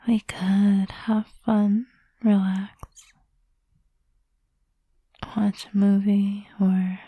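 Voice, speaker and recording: Woman acting slow, whispering, possessive girlfriend. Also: mid-range voice, no room sound. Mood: coaxing, playful. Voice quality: soft, breathy whisper. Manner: slow, lingering, coaxing delivery. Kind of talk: intimate whispered audio roleplay, ASMR-like.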